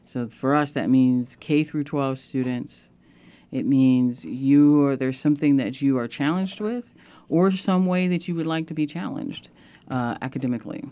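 A woman guest on a radio interview, co-founder of a nonprofit, explaining its programs in a measured way. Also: extremely low pitch, dark tone.

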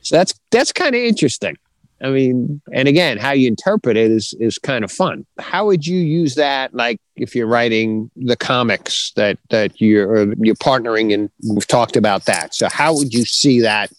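Man, medium pitch, casual conversational co-host delivery, dry echo-free recording. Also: animated, normal speed. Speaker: co-host of a writing show.

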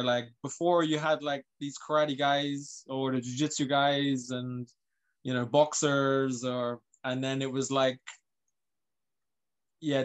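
A man in his mid-thirties, from England and Northern Ireland, talking as a guest in a casual interview. His voice stays level, with little rise or fall, and his speech is loose and full of fillers. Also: medium pitch. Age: 30s. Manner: loose, filler-heavy, lots of "like" and "you know". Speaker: guest, MMA fighter.

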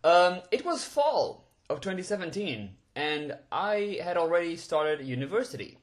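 A man's voice: a little high, very animated, measured pace. A man, giving an enthusiastic, chatty movie review with frequent chuckles and fillers. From Portugal. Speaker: film reviewer. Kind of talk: casual movie review monologue.

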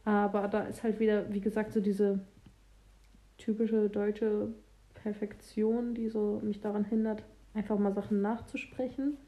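Chatty young German woman, mellow tone. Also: mid-range voice, flat delivery. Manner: chatty, candid, some halting restarts. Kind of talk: casual conversation.

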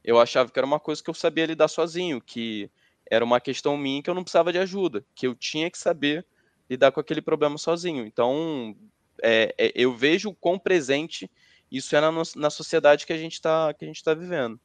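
Higher-voiced Brazilian man in a close, dry recording. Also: quick pace, no room sound. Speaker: panelist in a group discussion. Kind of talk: casual reflective discussion.

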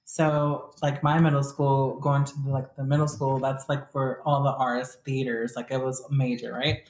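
A woman's voice: very deep voice, level tone, moderate pace, a little mellow. A young woman chatting casually with friends, rambling with lots of fillers. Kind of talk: casual chat. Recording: echoey room.

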